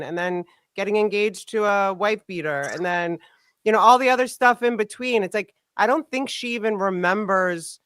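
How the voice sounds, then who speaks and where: A woman's voice: mid-range voice, fairly bright tone, no echo, clean studio sound. A woman talking candidly in a casual conversation, full of "like" fillers. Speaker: podcast host.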